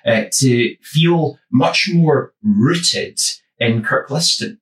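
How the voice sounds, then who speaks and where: A man from the west of Scotland with a West of Scotland accent, giving a gentle, reflective devotional talk with many small ums and uhs. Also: fairly low pitch, reverberant, distant mic, poor audio. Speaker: church minister.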